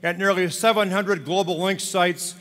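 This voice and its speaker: A man addressing a large congress in a formal, steady voice from the stage. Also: high voice, normal speed, slight background noise. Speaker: congress leader. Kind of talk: speech to a large assembly. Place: large convention hall. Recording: reverberant hall with music.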